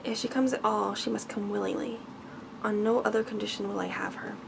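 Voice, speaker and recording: A woman voicing an angry, commanding male beast in a bedtime storybook, with stern, formal speech, reading softly for ASMR. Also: medium pitch, steady tone, a little fast, vocal fry, noisy background. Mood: stern, grim.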